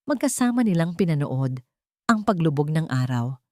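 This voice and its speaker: A woman narrating a scripted romance story in an expressive, dramatic manner. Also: low-pitched, normal speed, dry recording, studio quality.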